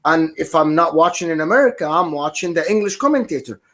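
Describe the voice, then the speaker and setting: A man whose first language is Arabic speaks in a high voice on a football podcast. He presses his case insistently, repeating short points in halting phrases. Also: animated, fairly bright tone. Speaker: podcast guest. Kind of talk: casual sports chat.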